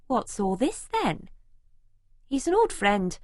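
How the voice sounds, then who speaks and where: A woman's voice: mid-range voice, big pitch swings, slow pace, darkish tone, no room sound, studio quality. A woman playing a young, observant female student who narrates her own life in an audiobook. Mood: observant, matter-of-fact. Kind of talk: first-person audiobook narration.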